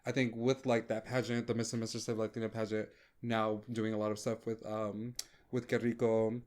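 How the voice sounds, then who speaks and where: A young man whose first language is Spanish, chatting casually as a podcast guest, talking in halting bursts with lots of "like" and "um". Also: lowish voice, flat delivery, darkish tone. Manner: halting, fills with "like" and "um". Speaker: podcast guest, drag performer. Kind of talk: casual chat.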